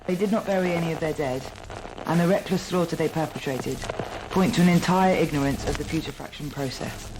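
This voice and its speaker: A woman's voice: deep voice, fairly quick, background noise, natural voice. A woman playing a chronicler of an alien invasion's aftermath in an audio drama, narrating in a formal, detached, literary way. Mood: detached, reflective.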